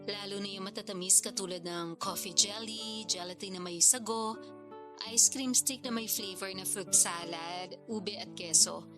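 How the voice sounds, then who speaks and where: A woman's voice: medium pitch, fairly even intonation, moderate pace, natural voice. An actress playing a modest, chatty single mother in her thirties in a radio drama. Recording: clear music bed behind dialogue.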